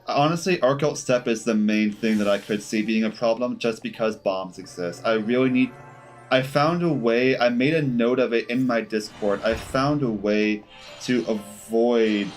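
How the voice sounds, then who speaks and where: A man doing casual live game commentary, thinking aloud in a halting, self-correcting way as he talks to his chat. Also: mid-range voice. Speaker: game streamer. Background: game music.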